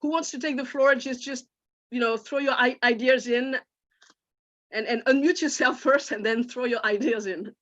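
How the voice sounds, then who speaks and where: A woman's voice: high-pitched, steady tone, moderate pace, a bit bright, highs cut off. A woman moderating an online panel, talking hesitantly with many fillers and restarts. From France. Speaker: webinar moderator. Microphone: video call.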